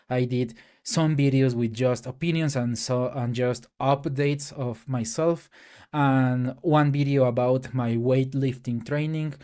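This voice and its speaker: Mexican-accented man, native Spanish speaker, slow halting casual rant, lots of fillers, mid pitch. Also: mellow, clear, resonant tone. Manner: hesitant, many fillers and restarts. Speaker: tech YouTuber. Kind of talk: casual solo rant to camera.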